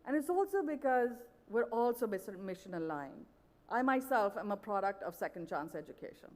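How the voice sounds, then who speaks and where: Woman speaker, persuasive stage pitch, mid-pitched, long hall reverb. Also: big pitch swings, normal speed, darkish tone. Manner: earnest, persuasive, with frequent "you know". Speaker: conference speaker. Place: large event hall.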